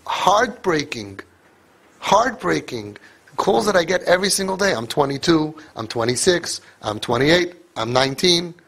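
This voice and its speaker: A man's voice: fairly high pitch, creaky, a bit bright, husky. A man giving an emphatic motivational talk to parents, repeating phrases for force. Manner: emphatic, repeats phrases, mixes in Yiddish and Hebrew words. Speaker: speaker addressing parents.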